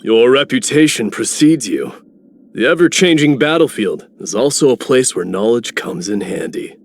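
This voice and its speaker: A man's voice: mid-range voice, lively intonation, moderate pace, gravelly. A man voicing a proud, composed warrior in a video game, formal and assured. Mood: appraising, assured. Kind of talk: video game character voice lines.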